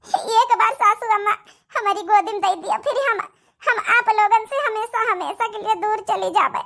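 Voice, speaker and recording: A woman's voice: very high-pitched, normal speed, vocal fry, a bit bright, exaggerated voice. A woman voicing a remorseful young daughter-in-law in a cartoon melodrama, pleading tearfully with an Awadhi accent. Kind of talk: cartoon family melodrama acting.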